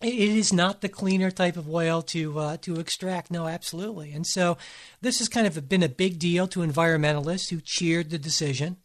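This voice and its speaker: A man's voice: on the high side, brisk. A man co-hosting a political discussion, explaining his opinions in a casual, conversational way. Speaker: political podcast co-host.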